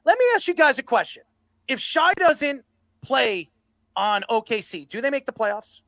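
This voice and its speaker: Man, sports host, very bright voice, dry close sound, combative, ranting, blunt debate style. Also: very high-pitched, sing-song, normal speed, dry recording.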